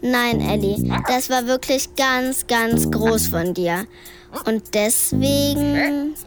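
A woman playing a lively, outspoken young sister in a family radio play. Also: fairly high pitch, slow pace, over loud music. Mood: sincere.

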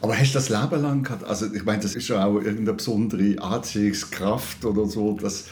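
A man's voice: lowish voice, steady tone, a little fast, darkish tone, breathy edge. An older man with a Swiss German accent, talking casually and telling personal stories. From Switzerland. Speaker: radio maker, interviewer.

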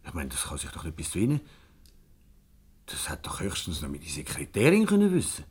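Uneasy, deferential Swiss German husband, very low, wide-swinging pitch, radio comedy. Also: male voice, wildly swooping pitch, a little fast, a little mellow, breathy edge, full character voice. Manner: hesitant, agitated, polite. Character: uneasy, deferential husband, anxious about his missing wife. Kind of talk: 1970s comedy radio play acting.

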